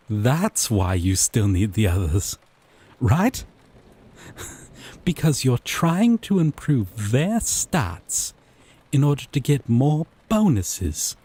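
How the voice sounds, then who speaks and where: A man playing a gentle, obsessive yandere dating-sim character, tender and possessive, in an intimate scripted audio roleplay with a lingering, coaxing delivery. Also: medium pitch, very animated, slightly rough, full character voice. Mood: amused, teasing. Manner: slow, lingering, coaxing, softly teasing.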